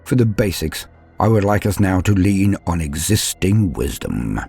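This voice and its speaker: A man hosting a lore guide, reading scripted narration in a theatrical, measured and formal manner. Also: low-pitched, very animated, vocal fry, a little mellow, slightly rough, soft background music. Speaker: host of a Warhammer lore guide.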